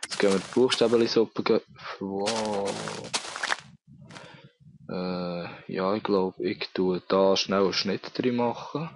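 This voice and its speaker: A man's voice: sits low, fairly even intonation, takes it slowly, background noise. A young man with a Bernese Swiss German accent, rambling and mocking himself while playing a game, with a cold. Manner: rambling, self-mocking, thinking aloud. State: has a cold. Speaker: let's play gamer. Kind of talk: casual gameplay commentary.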